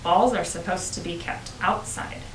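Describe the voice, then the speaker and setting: A woman's voice: lowish voice, normal speed, natural voice. A woman reading the part of an earnest, literal young schoolboy in a children's storybook, matter-of-fact and hesitant. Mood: puzzled, literal. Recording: roomy, distant, noisy home-style recording.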